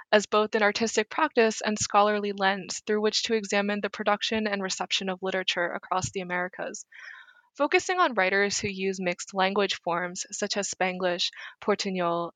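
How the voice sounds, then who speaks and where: A woman's voice: mid-range voice, a bit bright, dry recording. Dry-voiced woman podcast host. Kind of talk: scripted introduction and interview questions.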